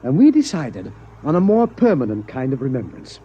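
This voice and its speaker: An actor playing a polite, flustered scientist in charge of an observatory, hesitant and stammering, in a radio comedy. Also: male voice, mid-range voice, huge pitch swings, normal speed. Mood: flustered, apologetic. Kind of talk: mid-century radio comedy acting. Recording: dull, hissy old radio recording.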